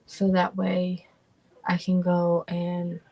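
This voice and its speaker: A young adult woman hosting a livestream in a relaxed, drawling, chatty way. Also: on the low side, takes it slowly, crowd noise, rough recording. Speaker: streamer and host. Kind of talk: casual livestream chat.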